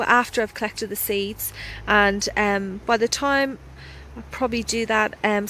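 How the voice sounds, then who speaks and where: A woman's voice: a little high, fairly bright tone, a little hiss or hum, low-quality recording. A woman with an Australian accent giving a casual, enthusiastic walkthrough of her garden, with lots of ums, recorded outdoors on a handheld phone. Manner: chatty and enthusiastic, frequent ums. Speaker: gardening vlogger. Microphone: handheld phone camera.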